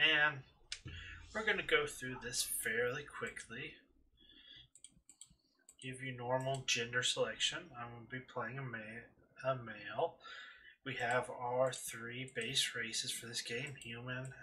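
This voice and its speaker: Very bright male voice, casual gaming host, playthrough commentary, distant mic, faint noise. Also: medium pitch, steady tone, slow pace.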